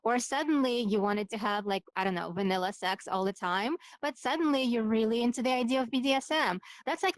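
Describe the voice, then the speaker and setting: A woman's voice: medium pitch, dry recording, poor audio. Woman with a light Ukrainian accent. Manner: conversational, frequent ums and likes. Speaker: startup co-founder giving a talk. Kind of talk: presentation talk.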